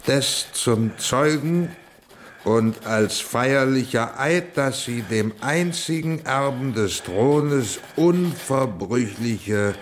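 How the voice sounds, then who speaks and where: Slow, ceremonious royal minister. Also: male voice, medium pitch, lively intonation, slight background noise. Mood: solemn, ceremonial. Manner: ceremonious, declamatory, measured. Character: formal, dutiful male royal minister at court. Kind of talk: German radio play acting.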